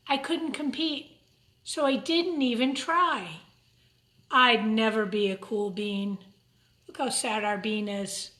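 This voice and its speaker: Female storytime reader: high voice, unhurried, expressive, addressing young kids, in a roomy, echoing space. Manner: expressive, simple asides to young listeners. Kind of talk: picture-book read-aloud for children with asides. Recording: roomy, echoing room sound.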